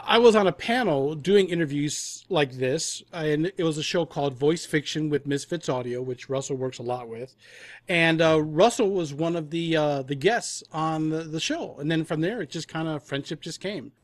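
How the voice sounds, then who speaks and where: A man chatting casually as an interview guest, rambling a little and rephrasing as he goes. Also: a little high. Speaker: podcast guest, composer. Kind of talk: casual chat.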